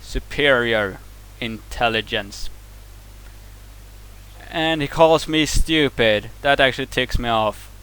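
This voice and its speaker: A man's voice: medium pitch, slow, sharp tone, clear, resonant tone, rough recording. A man with a Swedish accent whose first language is Swedish, ranting angrily to camera, heated and profane, often stumbling and restarting words. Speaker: vlogger reacting to a video. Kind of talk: angry rant to camera.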